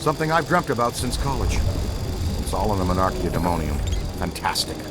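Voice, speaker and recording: A man playing an eager, earnest ghost hunter with boyish enthusiasm and an animated delivery in a video game. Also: low-pitched, fairly quick, very noisy. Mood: awed wonder.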